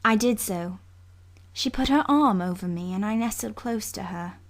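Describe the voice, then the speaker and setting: A woman reading literary narration for an audiobook in a measured, sombre storytelling manner. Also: mid-range voice.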